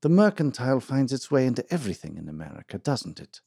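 Man playing a blunt, hearty barroom pal, lively voice, storybook. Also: mid-range voice, quick pace, creaky, breathy edge, dry recording, clean studio sound, heavily acted. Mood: jovial. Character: jovial hearty older male drinking companion.

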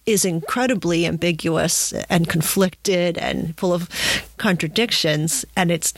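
A woman's voice: on the low side, measured pace, husky, no echo. A woman hosting a reflective conversational interview, searching for her words as she goes. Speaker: podcast host.

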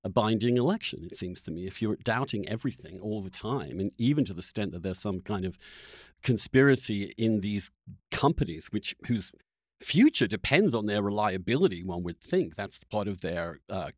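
A man's voice: fairly low pitch, expressive, normal speed, dry recording, studio quality. A man hosting a debate-style interview, challenging his guest in a skeptical, insistent way. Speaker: podcast host and interviewer.

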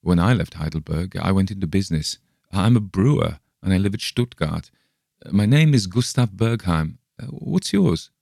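A man doing a boyish German brewer, eager, earnest, lifted, a little gravelly, dry. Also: deep voice, lively intonation, normal speed, a little mellow, no echo, voice pushed up, heavily acted. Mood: friendly. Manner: earnest, chatty, raised voice.

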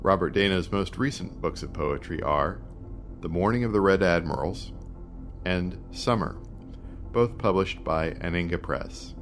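A man's voice: deep voice, expressive, unhurried, a bit bright, soft background music. A man reading scripted announcements as a podcast announcer. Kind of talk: scripted narration.

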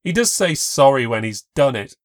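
A distraught young woman who clings to her boyfriend and won't hear a word against him, put on by a male narrator. Her voice rides high and teary, pleading and loud. The story is read aloud by a single storyteller. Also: big pitch swings, moderate pace, dry recording, clean studio sound, close to an everyday voice. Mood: tearful.

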